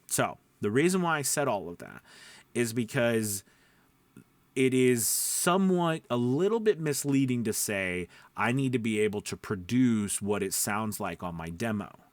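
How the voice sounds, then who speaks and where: A man's voice: mid-range voice, lively intonation, unhurried, no echo. A man talking informally as a voice-over coach, explaining step by step, repeating points and checking in with listeners. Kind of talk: informal instructional talk to camera.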